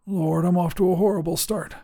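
A man voicing a dying father in a narrated story, weak and loving, with a labored, raspy, wheezing voice. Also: high-pitched, fairly even intonation, brisk, mellow, pitched above the performer's own voice. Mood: weak, tender.

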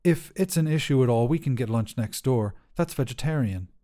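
A man's voice: medium pitch, animated, fairly quick, dark tone, natural voice. A man voicing an awkward, tactful human woman on a cargo crew in an audio short story, speaking hesitantly and carefully.

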